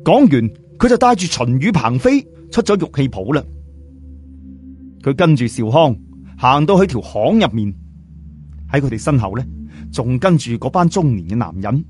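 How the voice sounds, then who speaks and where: A man narrating a story in a lively, colloquial Cantonese storytelling style, chatting in asides to listeners. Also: medium pitch, moderate pace, long pauses, soft background music. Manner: chatty, uses sentence particles and asides to listeners. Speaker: audiobook storyteller.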